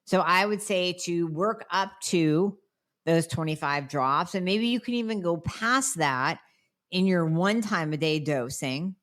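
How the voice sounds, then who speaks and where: A woman's voice: lowish voice, unhurried, a bit bright. A woman doctor hosting a podcast, answering listener questions in a blunt, emphatic way with sarcastic asides, sounding nasal as she gets over a cold. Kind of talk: solo podcast Q&A.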